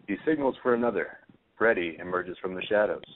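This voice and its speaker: A man's voice: on the low side, expressive, brisk, a little hiss or hum. A man narrating a play script, reading out the stage directions. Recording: tinny phone-line audio.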